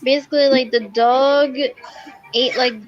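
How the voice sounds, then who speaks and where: A woman's voice: sits high, steady tone, a little slow, no room sound. A woman chatting casually as she streams a video game. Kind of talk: casual livestream chat. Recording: muffled stream microphone.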